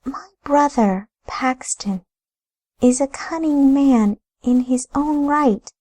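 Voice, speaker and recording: A woman's voice: high-pitched, slow, dark tone, pitched above the performer's own voice. A woman voicing a poised, scheming wife who speaks in a lilting, formal and cool manner. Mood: grave. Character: poised scheming wife and sister, grave and composed.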